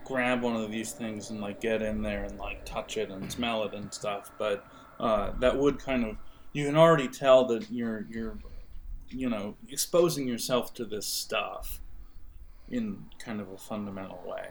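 A man running a tabletop game as game master, improvising scene descriptions in a hesitant, halting way. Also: lowish voice, slight background noise.

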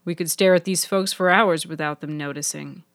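A woman's voice: sits low, steady tone, normal speed, fairly bright tone, dry recording, clean studio sound. A woman voicing an earnest, cautious and slightly awkward young man in a fiction podcast. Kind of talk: audio fiction character dialogue.

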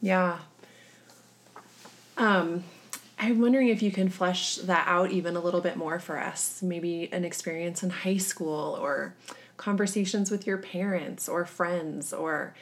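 A woman hosts the talk, thanking her guests and drawing out more of their stories. Her voice sits a little low and her manner is gentle. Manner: gentle, appreciative questioning. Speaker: host. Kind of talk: interview conversation.

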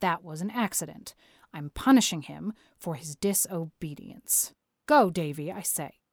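A woman's voice: lowish voice, expressive, dry recording, studio quality. A woman voicing a stern, practical older woman, a strict guardian who speaks firmly and matter-of-factly in a storybook reading. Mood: stern, scolding. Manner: firm, brisk scolding, matter-of-fact.

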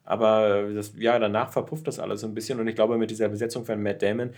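A man's voice: fairly low pitch, level tone, quick pace, a little mellow. A man co-hosting a podcast, talking casually about films with colloquial, sarcastic joking. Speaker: podcast co-host. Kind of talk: casual film discussion.

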